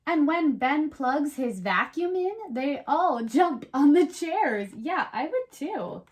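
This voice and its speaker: A woman playing a perky, chatty reading buddy who reads storybooks aloud on a children's show with animated, playful asides. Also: high-pitched, slow pace, heavily acted. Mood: chirpy. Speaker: co-host reading a picture book aloud.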